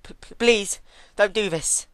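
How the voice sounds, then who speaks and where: A man's voice: measured pace, a bit bright, dry recording. A man voicing a frightened pony princess who stammers and pleads urgently in a dramatic story reading. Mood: terrified pleading. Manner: stammering, urgent, high-strained. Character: terrified, pleading royal pony princess, adult female.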